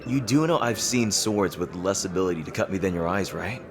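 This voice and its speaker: A man playing a teasing rival king in an intimate dramatic roleplay, halting and smug yet easily flustered. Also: deep voice, animated, over music. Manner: halting, teasing, with soft chuckles and pauses.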